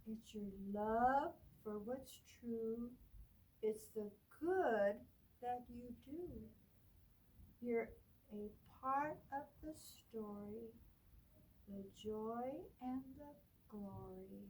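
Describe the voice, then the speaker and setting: A woman librarian reads a picture book to children in a slow, gentle sing-song voice, her lines often sinking to a hush. Her voice sits fairly high. She is recorded from a distance, with faint noise in the background. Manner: gentle sing-song reading, dropping to whispers. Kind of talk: children's storytime read-aloud.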